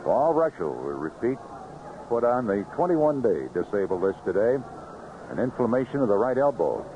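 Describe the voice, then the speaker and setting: Male American baseball play-by-play voice, lively inflection, measured clipped descriptions, vintage radio recording with clear background noise. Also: fairly low pitch, muffled recording. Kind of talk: live radio sports commentary. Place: outdoor ballpark broadcast booth. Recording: noisy vintage 1970s AM radio broadcast.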